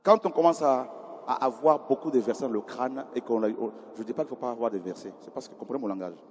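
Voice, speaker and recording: A man preaching a sermon in a conversational way, often questioning the congregation and moving from quiet asides to loud cries. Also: mid-range voice, fast talker, breathy edge. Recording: hall reverb with faint ambience.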